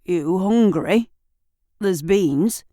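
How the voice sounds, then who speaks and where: Audio drama role: tired caring man, few words, gentle worry, played by a woman narrator, dry recording. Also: mid-range voice, animated, extremely slow, no room sound. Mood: tentative, caring. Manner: terse, caring. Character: weary, worried, gentle man checking in.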